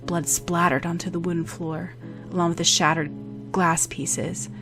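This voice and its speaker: Female audiobook narrator, lower register, scripted dramatic storytelling. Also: flat delivery, normal speed, music in the background.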